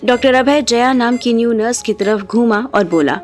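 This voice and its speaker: A woman's voice: a little high, normal speed. A woman reading scripted narration as the storyteller of an audio drama. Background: background music.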